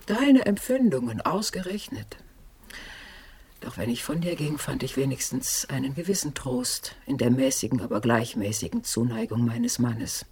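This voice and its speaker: Female ghost of a dead wife: husky, whispery, wistful, reproachful, very mobile pitch. Radio drama. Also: sing-song, moderate pace, exaggerated voice. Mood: wistful, wounded. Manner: hushed, often drops into whispering.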